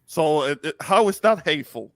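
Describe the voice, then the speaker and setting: A man speaking as a panelist in a casual political discussion, halting and repeating words for emphasis. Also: a little high, animated, no echo, studio quality. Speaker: talk show panelist.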